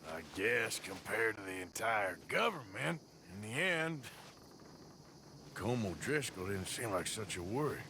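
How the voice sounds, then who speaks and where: An actor playing a rugged, weary outlaw gunslinger with a colloquial frontier drawl in a video game. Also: male voice, fairly low pitch, big pitch swings, unhurried, pushed and bright, a little hiss or hum, full character voice. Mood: weary, resigned. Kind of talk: dramatic video game acting. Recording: music behind.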